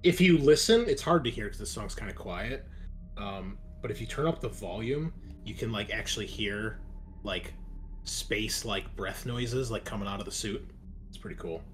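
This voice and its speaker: Low-voiced man running a tabletop game. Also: expressive, moderate pace. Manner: chatty, explanatory, casual swearing. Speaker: game master and host. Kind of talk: casual narrating of a tabletop game to players and listeners.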